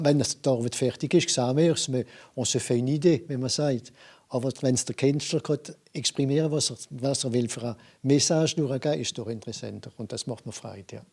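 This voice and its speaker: A man's voice: medium pitch, brisk, darkish tone, faint music behind. A man, talking in an interview and mixing French phrases into his speech.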